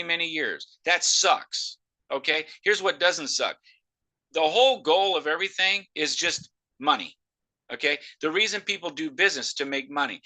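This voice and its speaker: Man, emphatic salesman, very forceful and bright, noise-cancelling mic. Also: a little high, rough recording. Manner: loud, emphatic and excitable. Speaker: host of a sales call. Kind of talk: live sales pitch on a video call. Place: video call. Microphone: noise-cancelling microphone.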